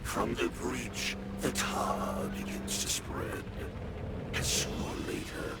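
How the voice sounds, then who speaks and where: A man voicing a sinister, tempting tar demon in an animated horror game, gravelly, with theatrical, drawn-out menace. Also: medium pitch, very noisy. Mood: menacing grandeur. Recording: loud music behind the voice.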